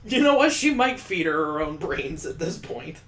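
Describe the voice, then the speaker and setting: A man's voice: fairly high pitch, sing-song, moderate pace, fairly bright tone. A man hosting a let's play of a visual novel, commenting with exasperated, sardonic asides. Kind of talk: live game commentary mixed with reading visual novel text aloud. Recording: roomy, echoing sound.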